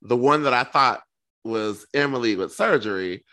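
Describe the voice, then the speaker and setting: Sassy man with a bright, forceful voice. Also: medium pitch, animated, moderate pace. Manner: sassy, campy slang. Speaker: podcast co-host. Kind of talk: casual gossipy chat about reality television.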